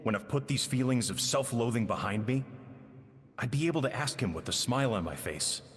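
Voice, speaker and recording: An actor playing a regretful chef recounting his past with a lost friend in slow, somber narration. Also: mid-range voice, lively intonation, long reverb tail, lightly acted. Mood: melancholy. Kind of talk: game cutscene narration.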